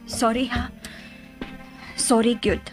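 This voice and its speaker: High voice, tender pregnant mistress, clingy, guilt-ridden, Filipino accent, radio drama, music behind. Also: female voice, flat delivery, slow. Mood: apologetic, soft.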